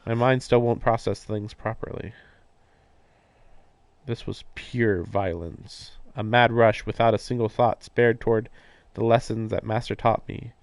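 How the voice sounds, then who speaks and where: A man's voice: on the low side, fairly even intonation, measured pace, dry recording. A man on a live game stream, reading narration aloud and breaking off for casual, puzzled commentary. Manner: puzzled, questioning asides. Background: game music.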